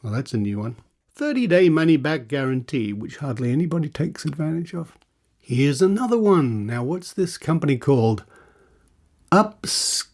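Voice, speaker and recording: Sardonic man, slightly high-pitched voice. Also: very animated, normal speed, darkish tone. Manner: dry, sarcastic. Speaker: podcast host. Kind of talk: reading adverts aloud with sceptical asides.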